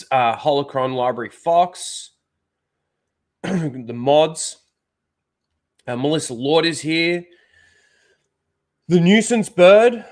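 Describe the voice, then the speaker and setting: A young man with a blocked nose, hosting a casual livestream, rambling with lots of fillers and greeting people in the chat. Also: medium pitch. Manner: rambling, filler-heavy, reads out chat names. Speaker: live stream host.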